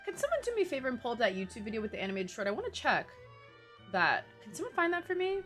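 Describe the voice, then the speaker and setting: A young woman game streamer chats in a slangy, rambling way. Her pitch moves in lively swings as she reacts, with faint game music behind her. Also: rapid-fire. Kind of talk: casual livestream commentary.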